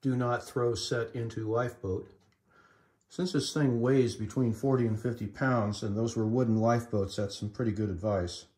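Middle-aged male collector for an informal demo video, halting and matter-of-fact delivery, recorded indoors. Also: medium pitch, fairly even intonation, a little mellow. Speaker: collector demonstrating vintage equipment. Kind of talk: informal demonstration narration. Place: indoors in a room at home.

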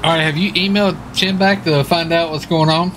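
A man's voice: a little high, steady tone, fairly quick, fairly bright tone, slight background noise. An American man in casual gaming radio chatter, with a folksy, easygoing manner. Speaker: streamer playing a police officer in online roleplay.

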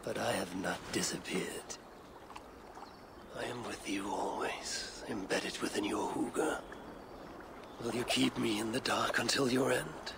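A haunting old god for a game, gravelly n slow, very solemn, breathy. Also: male voice, mid-range voice, background noise. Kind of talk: video game cutscene acting.

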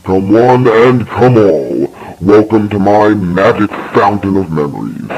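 An eerie animal showman from a horror cartoon. The voice is extremely deep and creaky, grandiose and ominous, over faint recording noise. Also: a little mellow, muffled recording, heavily acted. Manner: grandiose carnival barker, slow ominous delivery. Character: eerie theatrical animal showman of a magic fountain of memories. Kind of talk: horror animation character acting.

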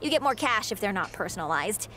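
A woman voicing an excitable, hyper fangirl wrestler in a video game. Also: medium pitch, fast talker, background noise, close to an everyday voice. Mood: giddy. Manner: bubbly, hyper, squealing.

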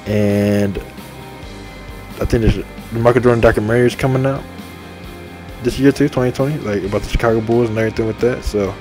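A man in his twenties talking casually and repetitively over a game, enthusiastic and full of shout-outs. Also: fairly low pitch, fairly even intonation, a little fast, pauses often. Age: 20s. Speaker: gaming channel host. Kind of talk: rambling casual monologue over game menu. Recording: faint game music behind. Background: faint game menu music.

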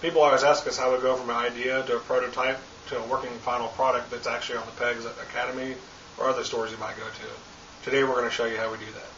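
A man's voice: medium pitch, level tone, fast, fairly bright tone, slight background noise. A young man introduces the video as host, speaking from an office. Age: young adult. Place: office, indoors. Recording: roomy, distant, dull.

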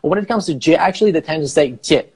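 A man in his thirties, a native Farsi speaker and English teacher, explaining slowly on a live video call through an earphone mic in a small room. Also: fairly high pitch, a little mellow, breathy edge. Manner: explains slowly, rephrasing with many fillers. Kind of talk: casual live video chat. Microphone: earphone headset mic on a video call.

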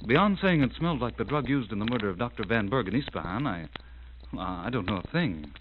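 A man's voice: lowish voice, expressive, a little fast, lightly acted. Man playing a dazed adventurer on tinny old radio. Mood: dazed, groping. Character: dazed, anxious adventurer searching for a kidnapped woman. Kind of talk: 1940s radio drama acting. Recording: narrow, tinny, hissy old radio recording.

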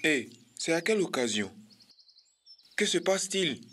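Plaintive grown-up giraffe uncle for a kids cartoon, really swingy pitch, sensitive type. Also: male voice, very animated, a little slow, a bit bright, faint music behind. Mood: hurt, indignant. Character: lonely, sensitive adult male giraffe uncle. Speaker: cartoon character. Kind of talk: animated children's cartoon acting.

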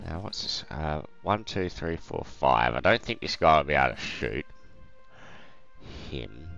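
A man with a croaky voice hosting a let's play, casually thinking aloud over a strategy game with lots of ums. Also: extremely low pitch, big pitch swings, measured pace, a bit bright, lo-fi sound, soft background music.

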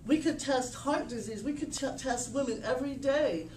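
Middle-aged woman, emphatic sales pitch, higher voice, echoey meeting room, far from the camera. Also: moderate pace, a little hiss or hum. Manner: animated, emphatic, restarts often. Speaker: presenter at a business meetup. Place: small meeting room, indoors.

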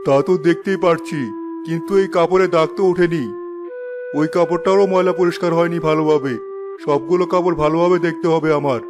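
High-pitched tyrannical cartoon father, acted by a man. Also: steady tone, brisk, music in the background, close to an everyday voice. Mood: stern, demanding. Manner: harsh, accusing, domineering. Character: tyrannical, scolding father of two children. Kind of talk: cartoon dub acting.

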